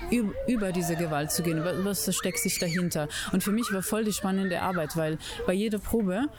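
A woman's voice: fairly low pitch, fairly quick, background noise. A woman from Italy with an Italian accent, a puppeteer answering interview questions, often searching for words. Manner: searching for words, many fillers and restarts.

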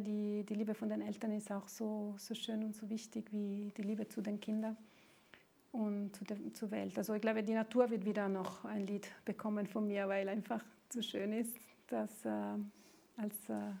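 A woman with an Italian accent, a native Italian speaker, answering interview questions about her songwriting, pausing often to search for words. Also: mid-range voice, little pitch movement, vocal fry, mellow. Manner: hesitant, searching for words, many fillers.

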